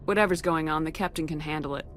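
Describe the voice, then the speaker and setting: Stern space navy commander lady, low voice, clipped and in charge, game dialogue. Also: fast, fairly bright tone, soft background music. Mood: composed. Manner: firm, clipped, authoritative. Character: stern, composed female military starship commander. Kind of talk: video game dialogue acting.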